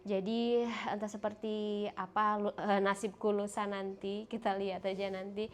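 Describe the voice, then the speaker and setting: A woman's voice: medium pitch, little pitch movement, normal speed, a little hiss or hum. Stuffy-nosed young woman vlogging a hotel room. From Indonesia. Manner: chatty, talking to viewers, pointing things out. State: congested, nasal from a cold. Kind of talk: casual hotel room tour vlog. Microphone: handheld phone camera.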